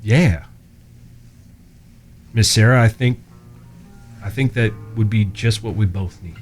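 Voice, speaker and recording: A man playing an earnest, gentle, lovestruck Old West fellow in an audio drama, hesitant and folksy in his talk. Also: lowish voice, takes it slowly, faint music behind. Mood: shy, tender.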